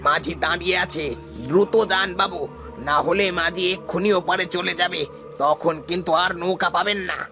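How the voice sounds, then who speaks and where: A man voicing an old male cart driver who is secretly a ghost, speaking slowly and ominously in a cartoon. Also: high voice, steady tone, gravelly, music in the background. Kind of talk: cartoon voice acting.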